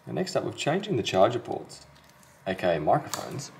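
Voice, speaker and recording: A man's voice: lowish voice, wildly swooping pitch, a little fast, vocal fry, background noise. A man talking casually and matter-of-factly through a hands-on repair tutorial, step by step, as a technician working at a bench. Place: indoor repair workbench.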